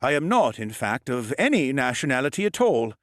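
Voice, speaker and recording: A man voicing a courteous old male automaton butler who speaks in a calm, formal way. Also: medium pitch, a little fast, no echo, studio quality, voice pushed up, lightly acted. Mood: calm polite.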